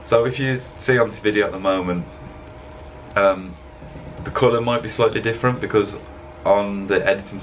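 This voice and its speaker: A man's voice: fairly low pitch, a little hiss or hum. A young man talking casually in a rambling, laid-back way as a fitness vlogger, speaking to a webcam at his desk. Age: young adult. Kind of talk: casual voice-over vlog. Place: indoor room, voice-over over gym footage.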